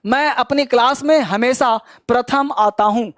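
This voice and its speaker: A man teaching an online spoken English lesson, quizzing his students in a brisk, teasing and scolding way. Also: extremely high pitch, fairly bright tone, no room sound, studio quality.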